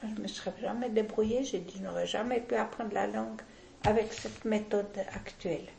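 Older lady, super gravelly, keeps restarting sentences, roomy echo. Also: mid-range voice, fairly even intonation, darkish tone, slight background noise. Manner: deliberate, opinionated, frequent restarts. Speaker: interviewee. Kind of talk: casual reminiscing interview.

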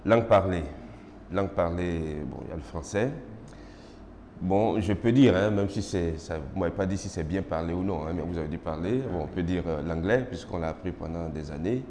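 A middle-aged man from Côte d'Ivoire with an Ivorian French accent, whose first language is Baoulé, answering formal interview questions in a measured way with many hesitations. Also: low voice, warm tone. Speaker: interviewee, magistrate. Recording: noisy roomy recording with long reverb.

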